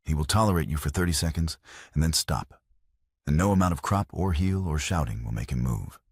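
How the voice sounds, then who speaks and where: Man acting as proud young horsewoman, very low pitch, husky, quietly defiant, firm, audiobook romance. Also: fairly quick, dry recording, studio quality, exaggerated voice. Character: proud, calm, unyielding 26-year-old woman horse trainer.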